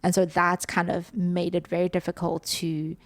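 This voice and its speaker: A woman's voice: fairly low pitch, completely flat delivery, moderate pace, dry recording. A young woman from South Africa co-hosting a podcast, chatting casually and often ending points with "right?". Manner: chatty, filler-heavy, ends points with "right?". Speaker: podcast co-host. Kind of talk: casual chat.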